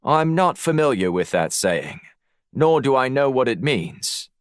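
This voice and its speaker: A man voicing a stern, formal police officer with a measured, unamused manner in an audiobook. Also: medium pitch, sing-song, clean tone, dry recording, clean studio sound, pitched above the performer's own voice. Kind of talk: audiobook character dialogue.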